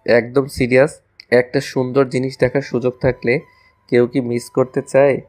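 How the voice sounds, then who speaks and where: একজন পুরুষের অভিনয়ে দুষ্টু, রোমান্টিক কলেজপড়ুয়া ছেলে, পেছনে বাজনা. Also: male voice, medium pitch, little pitch movement, moderate pace, darkish tone, soft background music, lightly acted. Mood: flirty. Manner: playful, mock-innocent, teasing. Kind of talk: audio romance story acting.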